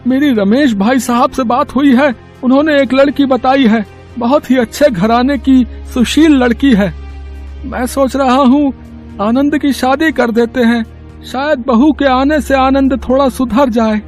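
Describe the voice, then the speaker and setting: Man acting a high-voiced worried merchant father. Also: unhurried, soft background music. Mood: concerned.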